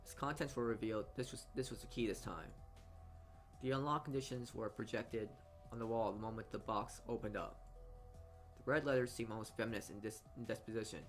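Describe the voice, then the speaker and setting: A man reading a visual novel's narration aloud as a let's player, often stumbling over words and correcting himself, with asides of his own. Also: mid-range voice. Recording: game music behind the voice. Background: game music.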